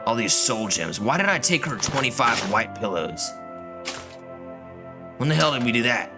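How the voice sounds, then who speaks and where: A man's voice: mid-range voice, sing-song, moderate pace. A man giving casual, profane, grumbling commentary while he plays a video game. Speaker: gaming streamer playing and commenting on a game. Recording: game music behind the voice. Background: video game music.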